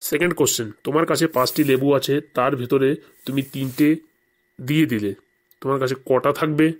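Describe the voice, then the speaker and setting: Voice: medium pitch, fairly quick, close to an everyday voice. A performer voicing a stern, sarcastic male headmaster in a comedy cartoon. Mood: stern, questioning. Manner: sarcastic, scolding interrogator.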